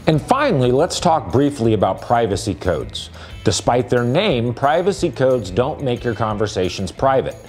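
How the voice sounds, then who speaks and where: A man's voice: medium pitch, very animated, moderate pace, a little mellow, faint music behind. A man giving a scripted explainer voice-over about handheld radios as a store's product presenter.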